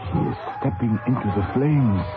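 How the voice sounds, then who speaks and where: An actor in an old radio drama playing an earnest, mystical mechanic who speaks halting foreign-sounding English in short, simple sentences. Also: male voice, medium pitch, over loud music, over a noisy crowd, natural voice. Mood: anxious awe. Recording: noisy muffled narrowband old radio broadcast.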